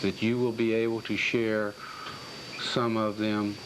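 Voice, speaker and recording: A man's voice: lowish voice, measured pace. A man hosting an educational newsreel, delivering scripted narration and interview questions, on an old 1980s video soundtrack. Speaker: program host and narrator. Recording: noisy 1980s video soundtrack, steady background noise.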